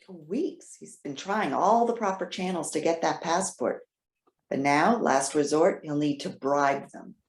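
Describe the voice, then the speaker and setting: A woman playing a trusting, excitable elderly widow in readers theater, talking in a chatty, halting, earnest way. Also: sits low. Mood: concerned, earnest. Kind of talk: readers theater stage acting. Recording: roomy, echoing sound.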